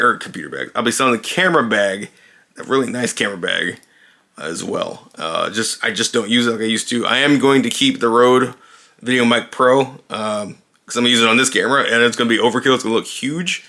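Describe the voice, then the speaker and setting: A man's voice: mid-range voice, pushed and bright. A man casually demonstrating a new camera indoors, talking loosely with lots of fillers and restarts, recorded on the camera's own built-in mic. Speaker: vlogger reviewing a camera. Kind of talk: casual unscripted camera demo. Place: indoors, dim room.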